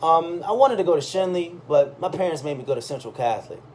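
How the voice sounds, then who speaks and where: A man's voice: on the high side, lively intonation, fast, a little mellow, lightly acted. Man playing a hesitant, bluffing teenage boy. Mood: nervous. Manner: hesitant, stammering, polite. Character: bluffing, nervous teenage boy improvising a fib. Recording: clearly audible background noise.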